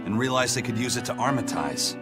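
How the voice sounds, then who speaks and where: An actor voicing a stern, seasoned pirate captain in game dialogue, speaking in a measured, grave, analytical way. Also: male voice, medium pitch, expressive, over music, lightly acted. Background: game score.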